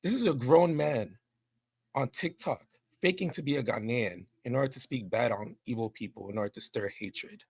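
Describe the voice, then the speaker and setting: A man's voice: medium pitch, moderate pace, gravelly, breathy edge, no echo. A man arguing indignantly in an online debate, emphatic and repeating himself. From New York City. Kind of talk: heated debate.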